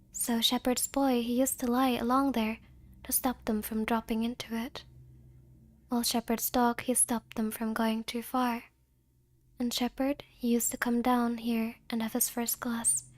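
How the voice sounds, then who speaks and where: A woman with a high voice reads a story in ASMR style. She takes her time and pronounces each word with care. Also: no echo. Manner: slow careful pronunciation when reading. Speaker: VTuber ASMR performer. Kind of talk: ASMR storytelling and playful chat.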